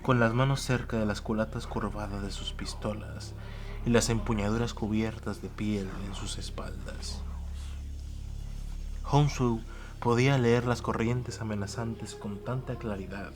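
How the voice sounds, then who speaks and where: A man narrating a war tale as a dramatic audiobook, measured, solemn and emphatic. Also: on the low side, steady tone. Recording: music behind the voice.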